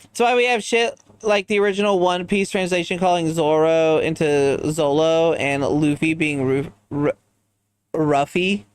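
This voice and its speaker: A fairly high-voiced man with a smooth, resonant tone, chatting casually while he plays. He rambles between topics and answers viewers in goofy asides. The sound is dry and close, with no room around it. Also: fairly even intonation, a little slow, no echo. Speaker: streamer. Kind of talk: casual chat while gaming.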